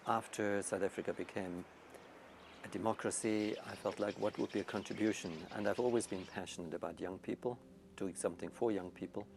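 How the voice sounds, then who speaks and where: A middle-aged or older man with a Swiss accent, talking reflectively and haltingly in an interview style about his own work. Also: lowish voice, creaky, background noise. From Switzerland. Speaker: youth retreat founder. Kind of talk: interview-style personal talk.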